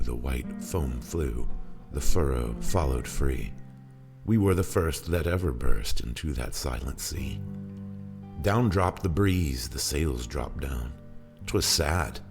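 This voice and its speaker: A man's voice: very deep voice, vocal fry. A man giving a theatrical, brooding and emphatic dramatic recitation of poetry. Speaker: poetry reader and performer. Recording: music under the voice. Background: guitar music.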